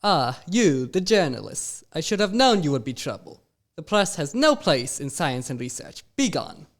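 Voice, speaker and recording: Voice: mid-range voice, sing-song, normal speed. A curt, dismissive authority figure barks orders, played by an amateur actor. His lines rise and fall widely, and every sentence is short and commanding. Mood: stern. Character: stern male head of science, dismissive.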